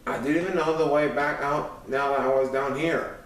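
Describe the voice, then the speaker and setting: A man's voice: medium pitch, fairly even intonation, normal speed. Echoey, distant male story narrator. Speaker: audiobook narrator. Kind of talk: scripted first-person story narration. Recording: echoey room, far from microphone.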